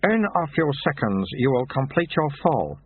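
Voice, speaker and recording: A man's voice: medium pitch, sing-song, normal speed, slightly rough, voice pushed up. A man voicing a mysterious recruiter from a secret order of monks, smug and patiently teasing. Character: mysterious dry-witted male recruiter monk. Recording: tinny phone-like sound.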